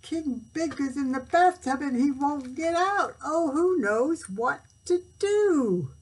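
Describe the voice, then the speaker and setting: A woman reading a storybook, voicing a frantic young boy page who cries out for help in a high, loud, drawn-out plea. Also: flat delivery, husky.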